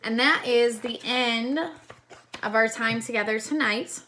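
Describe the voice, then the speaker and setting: A woman reading a children's story aloud in a deliberate way, pausing to explain the pictures to young listeners. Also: a little high, fairly even intonation, pushed and bright, a little hiss or hum, low-quality recording. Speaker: storytime reader, teacher.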